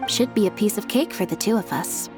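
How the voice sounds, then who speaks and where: A woman's voice: mid-range voice, brisk, music in the background, close to an everyday voice. A woman playing a composed, playful young stage performer in a video game. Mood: poised, confident. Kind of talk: video game dialogue acting.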